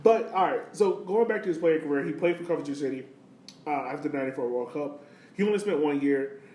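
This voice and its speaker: A man's voice: a little high, fast, a little mellow. A man of thirty hosting a podcast, talking sport in a casual, slangy and enthusiastic way. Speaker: podcast host. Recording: roomy, distant mic, faint noise.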